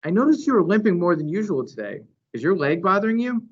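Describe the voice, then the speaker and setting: A man's voice: high voice, very animated, fast, husky, pitched above the performer's own voice, exaggerated voice. Man reading as a weary, pensive big gorilla. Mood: weary, concerned. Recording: dull, lo-fi recording.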